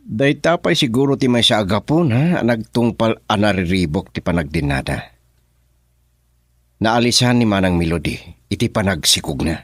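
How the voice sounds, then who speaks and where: A doting young family man in an Ilocano radio drama. The voice is deep and lively, with an Ilocano accent and a husky grain. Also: moderate pace, no room sound. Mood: fond, cheerful.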